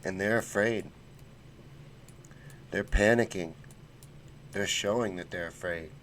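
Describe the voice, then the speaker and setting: A low-voiced man hosts a casual livestream and answers chat comments. He talks bluntly, with folksy slang and fired-up conviction. His voice sits on the low side. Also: slow, a bit bright, a little hiss or hum. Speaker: political livestream host.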